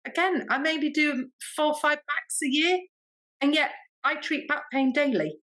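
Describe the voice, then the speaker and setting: A woman with an English accent, a podcast guest telling stories in a chatty, expressive way and acting out quoted dialogue. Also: high voice, a little slow. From Britain. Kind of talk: animated storytelling in casual interview.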